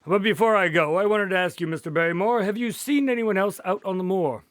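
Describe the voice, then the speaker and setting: An actor in a radio drama playing a polite, inquisitive police consultant, courteous and measured in his questions and narration. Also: sits high, fairly bright tone, clear, resonant tone, no echo, studio quality. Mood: curious, businesslike.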